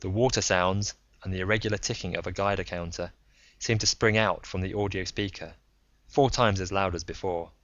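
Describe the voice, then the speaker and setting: A man's voice: low-pitched, steady tone, brisk, a bit bright, no room sound. A man narrating a science fiction audiobook as a scripted reading. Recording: slightly dull close dry recording.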